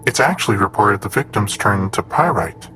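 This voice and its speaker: A man as a low-voiced, analytical android assistant. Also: animated, bright, forceful tone, slight background noise, rough recording. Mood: clinical, informative. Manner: clinical, measured, matter-of-fact. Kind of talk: scripted audio drama dialogue.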